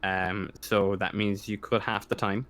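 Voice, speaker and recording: A man acting as game master in a tabletop roleplaying game, narrating haltingly as he searches for words. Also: lowish voice, flat delivery, fairly bright tone, slight background noise.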